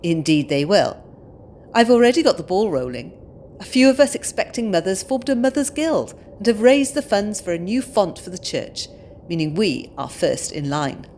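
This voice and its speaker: Actress as spirited young British wife, earnest and courteous, animated intonation, audio drama. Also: female voice, medium pitch, normal speed, slight background noise, close to an everyday voice. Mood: cheerful. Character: spirited young British expectant mother, polite but outspoken feminist.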